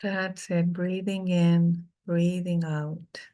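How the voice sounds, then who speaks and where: A middle-aged woman leading a guided meditation, speaking softly and soothingly with long pauses between phrases. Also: lowish voice, flat delivery.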